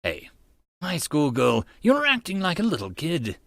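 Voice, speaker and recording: A man's voice: fairly high pitch, huge pitch swings, unhurried, vocal fry, fairly bright tone, dry recording, heavily acted. Man acting a dramatic, arrogant young man. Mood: teasing. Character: theatrical, arrogant young man, indignant and self-styled hero.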